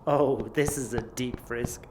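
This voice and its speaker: An actor with an Irish accent playing a cheeky, bumbling would-be gun smuggler, chatty and flustered, in an audio drama. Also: male voice, mid-range voice, fairly even intonation, slow pace, background noise, lightly acted. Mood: nervously chummy.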